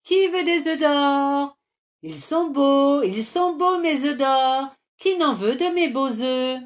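A woman's voice: high voice, slow pace, pitched above the performer's own voice. A woman voicing a clever little hen girl who teases in a sly sing-song.

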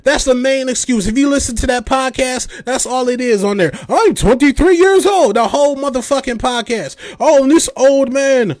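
Late-thirties male podcast host, high-pitched, dry close mic, angry profane monologue. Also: moderate pace, few pauses, no echo. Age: 30s. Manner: heated, profane, mocking rant with mimicked quotes. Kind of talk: ranting commentary.

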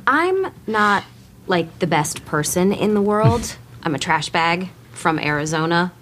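An actress playing a blunt, self-mocking young woman who talks in a fast, casual, wisecracking way. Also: fairly low pitch, very animated, a bit bright, a little hiss or hum. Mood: brash. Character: brash, self-deprecating young woman seeking to be good.

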